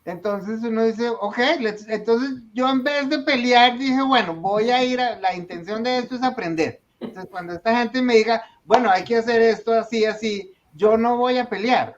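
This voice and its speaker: A man's voice: extremely high pitch, moderate pace. A man, a small business owner telling his story in a casual podcast conversation and acting out the voices of the people in it. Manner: animated storyteller, acts out quoted dialogue. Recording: dull lo-fi sound.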